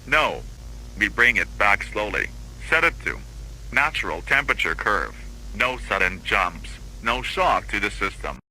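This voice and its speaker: A control-room commander acted by a man gives short, cautious orders in a drama. The voice is lowish and animated, with clear noise around it. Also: unhurried. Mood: controlled, cautious. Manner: terse, commanding.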